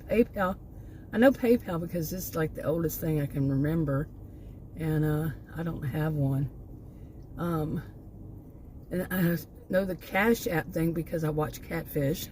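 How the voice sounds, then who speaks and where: A woman in her sixties, slightly hoarse from losing her voice, rambling through a monologue as host of her own channel and often stopping to find her thread again. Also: fairly low pitch, mellow, a little hiss or hum. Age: 60s.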